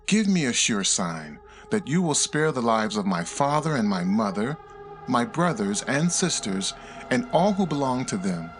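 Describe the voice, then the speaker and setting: A man voicing an earnest, pleading woman in a dramatized scripture reading, in a measured way. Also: mid-range voice, big pitch swings, faint music behind. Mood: fearful, pleading.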